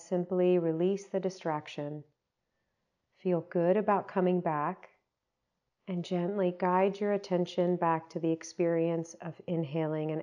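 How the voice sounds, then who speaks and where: A woman leading a guided meditation, giving gentle, unhurried instructions with long pauses. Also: lowish voice, muffled recording.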